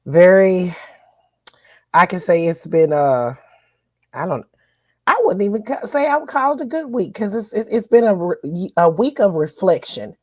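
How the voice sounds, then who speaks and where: A woman's voice: lowish voice, very animated, normal speed, no echo, clean studio sound. A woman co-hosting a podcast, recapping news in a chatty, folksy way with lots of fillers. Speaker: co-host.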